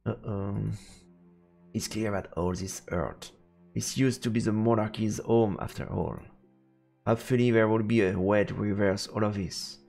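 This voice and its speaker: A man streaming a visual novel, reading the text aloud as measured, dramatic narration. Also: fairly low pitch, steady tone, creaky, darkish tone, soft background music.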